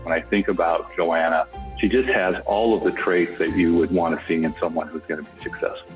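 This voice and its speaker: A man giving a measured tribute to a colleague in a documentary interview. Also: deep voice, fairly even intonation, faint music behind. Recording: dull lo-fi sound.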